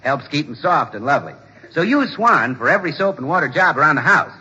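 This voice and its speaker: Very bright, hearty radio pitchman. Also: very animated, a little fast, husky, full character voice. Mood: jovial, salesy. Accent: American. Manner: hearty, polished salesman's delivery. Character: jovial soap-obsessed announcer pal, relentlessly salesy. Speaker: announcer and comic sidekick. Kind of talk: 1940s radio announcing and sponsor patter. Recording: muffled, hissy 1940s radio recording.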